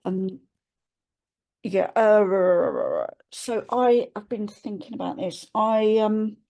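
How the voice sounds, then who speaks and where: Gravelly, hesitant woman. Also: medium pitch, big pitch swings, slow, dark tone. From England. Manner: hesitant, searching for words with many restarts. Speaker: webinar participant. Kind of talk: reflective sharing on a video call. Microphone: computer mic on a video call.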